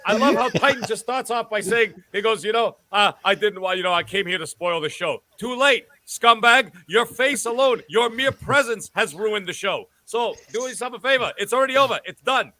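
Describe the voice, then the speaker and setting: A man on a gaming podcast panel, loudly trash-talking and teasing a friend in a mock roast. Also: sits high, animated, moderate pace, hardly any pauses, dry recording. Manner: loud, teasing, over-the-top insults.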